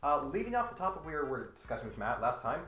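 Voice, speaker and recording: Animated, mock-serious male host. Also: mid-range voice, fast, echoey room. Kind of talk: comic commentary and dramatic reading.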